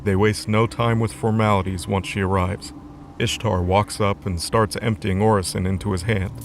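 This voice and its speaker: A man's voice: lowish voice, little pitch movement, normal speed, slight background noise. A man narrating a scripted fantasy story as the narrator of an audio drama. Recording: music under the voice.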